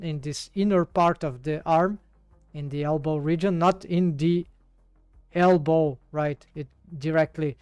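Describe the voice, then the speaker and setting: A man's voice: a little high, darkish tone, clean tone, no room sound. A man with a Brazilian accent, working through a 3D rigging task live and thinking aloud with many fillers and restarts. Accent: Brazilian Portuguese. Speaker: 3D rigging streamer. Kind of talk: live tutorial while working.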